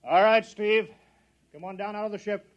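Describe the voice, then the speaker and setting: Actor as urgent man in command, fairly high-voiced. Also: fairly even intonation, normal speed, muffled recording, background noise, natural voice. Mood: calming. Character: Hank, weary commanding man running a test project. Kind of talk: 1950s radio drama acting. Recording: 1950s radio broadcast recording.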